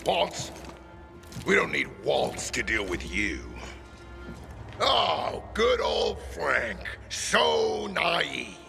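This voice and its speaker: Mocking renegade villain, heavy creak, wildly swinging pitch, taunting, menacing, game cutscene, music behind. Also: male voice, very animated, full character voice. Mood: taunting, gloating. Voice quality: gravelly. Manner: taunting, drawn-out. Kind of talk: video game villain acting. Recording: loud music bed behind the voice.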